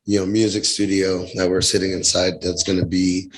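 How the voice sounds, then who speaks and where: A man with a raspy, hoarse voice, chatting casually as a guest in a music studio, laid-back and rambling with many fillers. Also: low voice, level tone, moderate pace, slight background noise, poor audio. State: hoarse, losing his voice. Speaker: guest, venue crew member. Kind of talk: casual chat.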